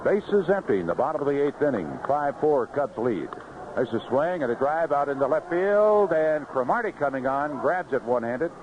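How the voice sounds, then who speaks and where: A man's voice: a little high, animated, moderate pace, muffled recording, noisy background. A man calling live baseball play-by-play as a radio announcer on a vintage 1970s broadcast. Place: outdoor ballpark broadcast booth.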